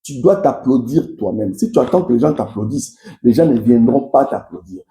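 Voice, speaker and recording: Emphatic Congolese French man, gravelly voice. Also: medium pitch, lively intonation, moderate pace, dark tone, echoey room. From Kasai, DR Congo. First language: Tshiluba. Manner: emphatic, repetitive, addresses listeners directly. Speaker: motivational speaker and commentator. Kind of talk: impassioned political commentary to followers.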